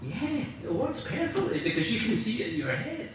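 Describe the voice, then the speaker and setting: A man's voice: very high-pitched, very animated, normal speed. Enthusiastic man speaking in a very echoey room. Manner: enthusiastic, emphatic, searching for words. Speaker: guest speaker, radio dramatist. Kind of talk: informal after-dinner talk about writing. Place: large indoor room at a club dinner. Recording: very echoey room, distant mic, faint noise.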